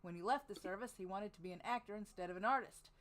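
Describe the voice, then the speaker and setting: A woman's voice: medium pitch, fast, fairly bright tone. A woman podcast host reading a biography aloud in a casual way, laughing off her own slips.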